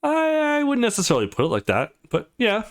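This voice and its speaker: A man's voice: sits high, huge pitch swings, a little fast, voice pushed up. A man voicing a laid-back but defiant teenage schoolboy with a casual, slangy manner in a visual novel. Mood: bashful, frank.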